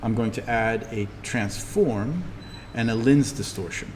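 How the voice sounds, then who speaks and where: A man giving a casual, easygoing software tutorial as an instructor, thinking aloud as he explains each step. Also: mid-range voice, animated, a little slow. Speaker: instructor teaching a 3D animation class. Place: indoors, echoing classroom. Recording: reverberant room with background noise.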